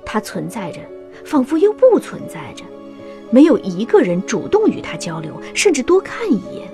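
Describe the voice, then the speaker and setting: Female drama narrator, slightly high pitch, lively melodic reading, light music bed. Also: normal speed. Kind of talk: scripted audio drama narration.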